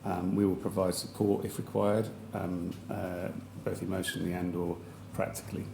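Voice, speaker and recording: A man with a slightly croaky voice, giving hesitant formal testimony as a chief executive at a public hearing, with many fillers and restarts. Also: low voice, flat delivery, moderate pace, background noise. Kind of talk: formal testimony under questioning. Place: large public hearing hall. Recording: echoey hall with faint ambience.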